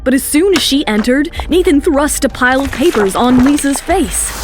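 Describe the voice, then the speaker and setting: A woman's voice: sits high, animated, normal speed. A woman narrator reading scripted drama in a storytelling style. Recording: music bed under the voice.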